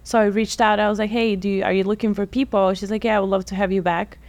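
A woman's voice: medium pitch, level tone, fast, darkish tone. A woman in her thirties from Bulgaria, a guest telling her story in a casual interview and often acting out what people said. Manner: chatty, laughs often, acts out quoted people. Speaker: guest, lash technician.

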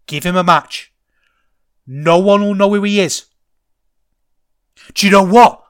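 Excitable Manchester man, rambling podcast talk. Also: high-pitched, unhurried, clear, resonant tone. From England. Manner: enthusiastic, rambling, gets loud when excited. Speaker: podcast host. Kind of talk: casual solo podcast chat.

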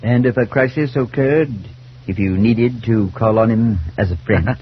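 An actor playing an earnest, compassionate priest, speaking in a measured way in a 1940s radio drama. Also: male voice, medium pitch, natural voice. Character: earnest, compassionate male priest narrating his tale. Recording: noisy, dull 1940s radio recording.

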